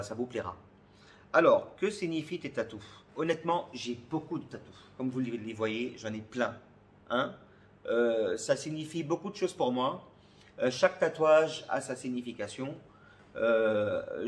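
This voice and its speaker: A man from Côte d'Ivoire answers questions in a casual vlog, often searching for words and repeating phrases. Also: mid-range voice. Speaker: comedy video creator.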